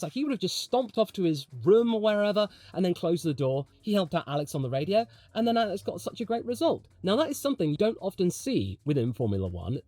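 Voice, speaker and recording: A man delivers an opinionated sports video essay in a somewhat high voice. His intonation is very animated, with emphatic points and rhetorical asides that sit between script and chat. The sound is dry, with no room around it. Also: no room sound. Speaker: motorsport commentary presenter.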